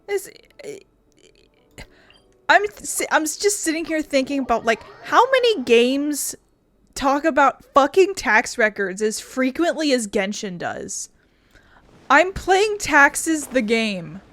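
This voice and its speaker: High-voiced, sardonic woman game streamer. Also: a bit bright. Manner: profane, sardonic, rambling. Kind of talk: casual let's-play commentary.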